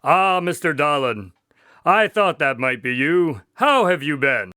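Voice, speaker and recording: Genial, bright-voiced doctor. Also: male voice, on the high side, big pitch swings, measured pace, clear, resonant tone, no room sound, clean studio sound, full character voice. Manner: polite, wry, conversational. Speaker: storyteller and narrator. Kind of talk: modern radio drama acting.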